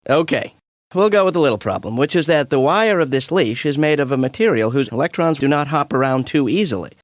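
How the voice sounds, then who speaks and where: A man's voice: fairly high pitch, expressive, brisk, dry recording, clean studio sound, natural voice. A man voicing a laid-back, jokey science professor in a cartoon, explaining things in a breezy, casual way. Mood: patient explaining. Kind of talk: animated educational courtroom dialogue.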